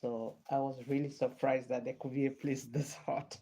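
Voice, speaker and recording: A woman's voice: very deep voice, level tone, normal speed, warm tone, a little hiss or hum. A woman from Nigeria chatting casually with viewers during a live hair-styling stream, answering their comments. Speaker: livestreaming hair vlogger. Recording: dull lo-fi sound.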